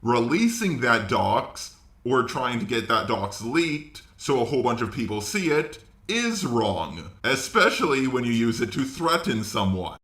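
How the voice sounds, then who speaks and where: Guy doing casual reaction commentary, bright forceful voice, roomy echo. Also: mid-range voice, sing-song, unhurried, hardly any pauses. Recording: roomy, echoey voice recording.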